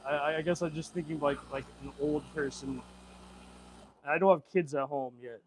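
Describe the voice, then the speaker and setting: A man's voice: a little high, fairly even intonation, moderate pace, noisy background. A man in his twenties chatting casually on a livestream, reading viewer comments aloud and answering them with laughs, stumbles and repeated words. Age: 20s. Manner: reads viewer comments aloud and rambles back. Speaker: streamer.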